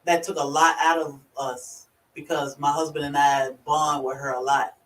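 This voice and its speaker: A woman with a rural Virginia accent hosting a casual livestream, chatty and quick to laugh as she greets viewers by name. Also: low voice, level tone. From Virginia, USA. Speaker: livestream host. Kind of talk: casual live chat. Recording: echoey room, far from the mic.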